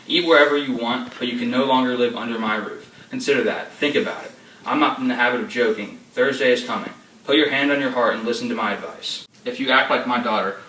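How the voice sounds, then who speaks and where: A man's voice: lowish voice, bright, forceful tone. An actor playing a domineering older nobleman father who scolds and rants at his daughter. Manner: scolding, ranting. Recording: echoey room from far off, faint ambience.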